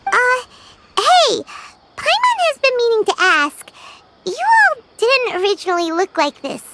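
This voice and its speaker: A woman voicing a tiny, excitable female companion who talks about herself in the third person. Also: very high voice, a little slow, background noise, heavily acted. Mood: eager. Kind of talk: video game voice acting. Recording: game audio over loud music.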